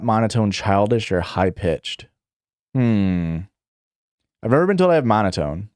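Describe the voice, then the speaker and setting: A man's voice: medium pitch, a little mellow, no room sound, clean studio sound. A young adult man streaming live, reading questions aloud and answering in a rambling, casual way full of fillers and restarts. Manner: rambling, filler-heavy, self-correcting, chuckles.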